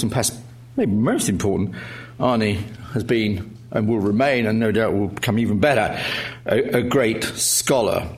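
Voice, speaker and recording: A middle-aged man chairing a lecture evening, introducing the speaker with wry jokes and many hesitant fillers. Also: mid-range voice. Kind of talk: formal introduction speech with jokes. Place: lecture hall with audience.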